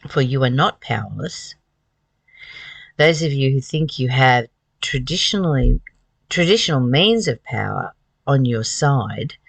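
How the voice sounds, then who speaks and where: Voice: mid-range voice, no room sound. A host reading aloud from a spiritual book and commenting on it, earnest and emphatic, with repeated phrases and rhetorical questions.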